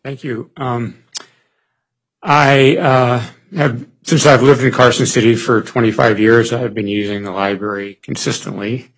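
A man in his sixties, hoarse, answering interview questions for a board seat at a public meeting, pausing with many fillers and restarts. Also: mid-range voice, steady tone, low-quality recording.

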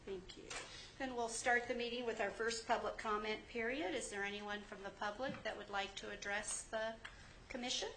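A woman's voice: on the high side, steady tone, normal speed, fairly bright tone, slight background noise, low-quality recording. A woman chairing a transportation commission meeting, formal and procedural. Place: public meeting chamber.

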